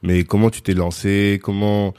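A male podcast host with a low, mellow voice who keeps the chat moving with short backchannel sounds. He asks casual follow-up questions and likes to tease his guest a little, keeping the tone easygoing. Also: little pitch movement, normal speed, smooth. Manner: frequent short backchannel, teasing. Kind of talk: casual interview conversation.

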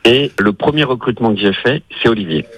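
A man, a chef calling in to a radio show, telling a relaxed anecdote about an old colleague. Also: mid-range voice, fast, no echo. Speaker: chef caller. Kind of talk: casual chat on the phone.

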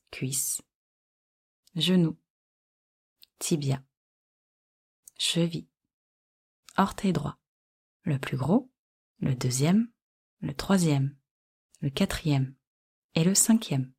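A woman guiding a yoga nidra relaxation in calm, measured short instructions. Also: low voice, slightly rough. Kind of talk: guided relaxation meditation.

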